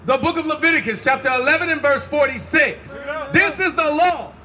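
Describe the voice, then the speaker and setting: A man reading Bible verses aloud in a loud, declamatory shout with drawn-out phrases. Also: extremely high pitch. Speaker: scripture reader for a preacher.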